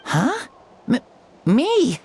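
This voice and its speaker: A woman's voice: sits high, wildly swooping pitch, drawn-out words, darkish tone, a little hiss or hum, heavily acted. A woman voicing a frail, awed young child in an anime-style game, hesitant and wide-eyed. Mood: dazed, wondering.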